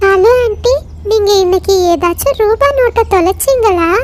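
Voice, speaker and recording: A woman's voice: very high voice, moderate pace. A woman voicing an earnest, polite young girl bird in a children's cartoon. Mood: polite, curious. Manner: polite, questioning, firm. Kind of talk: children's cartoon story acting.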